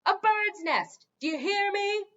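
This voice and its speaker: A woman voicing a cranky, insulting wooden gargoyle who shouts and grouses indignantly in a storybook reading. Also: very high-pitched, animated, measured pace, muffled recording, a little hiss or hum, pitched above the performer's own voice, full character voice. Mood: furious shouting.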